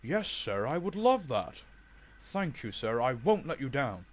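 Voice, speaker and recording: A man as a chipper, courteous steam engine, lively pitch. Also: measured pace. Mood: grateful. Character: confident, polite, cheerful male express steam engine newcomer. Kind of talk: children's railway storybook character voice.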